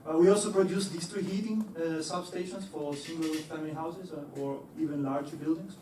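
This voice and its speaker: A man's voice: fairly high pitch, fairly even intonation, a little fast, mellow, slight background noise. Man speaking in a roomy hall. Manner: hesitant, many fillers and restarts, laughs easily. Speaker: engineer giving a talk. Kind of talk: presentation to an audience. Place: lecture hall. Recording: echoey hall, voice from far off.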